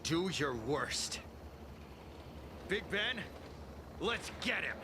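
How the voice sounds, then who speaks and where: An actor playing a cowardly, blustering young thief in an anime-style game, stammering and theatrical. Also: male voice, a little high, big pitch swings, drawn-out words, noisy background, exaggerated voice. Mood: defiant. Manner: stammering, theatrically swaggering then pleading.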